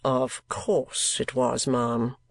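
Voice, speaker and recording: A woman voicing a blunt, stern gentleman in a storybook reading, speaking in a clipped, deliberate way. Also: rumbling low, flat delivery, vocal fry, dry recording, studio quality, exaggerated voice.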